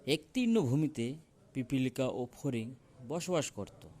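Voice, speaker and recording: Man narrating a fable, medium pitch. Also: big pitch swings, measured pace, darkish tone. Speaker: storyteller.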